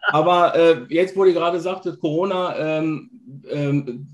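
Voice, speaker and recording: Man, interview host, fairly high voice, casual chat, video call. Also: fairly even intonation, unhurried, background noise. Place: indoor room, video call.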